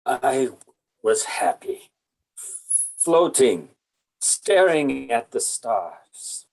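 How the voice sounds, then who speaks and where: A man's voice: mid-range voice, very animated, very slow, creaky, a little mellow, full character voice, low-quality recording. A man voicing a wistful, dying giant alien monster in an amateur table read.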